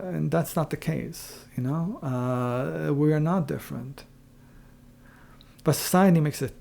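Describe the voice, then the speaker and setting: Halting man with a gravelly, mellow voice. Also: mid-range voice. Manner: halting, searching, with frequent pauses and throat-clearing. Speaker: online video creator. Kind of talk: unscripted reflective philosophical monologue.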